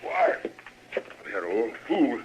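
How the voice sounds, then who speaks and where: An actor playing a drunken, mean frontier bully in an old radio western, with rustic Western speech. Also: male voice, fairly low pitch, very animated, very slow, full character voice. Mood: vengeful. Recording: noisy old radio recording.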